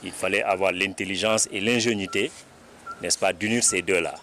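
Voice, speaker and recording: A man's voice: deep voice, fairly even intonation, brisk, sharp tone, soft background music. A man with a Senegalese French accent speaking emphatically, like an orator, in a press interview.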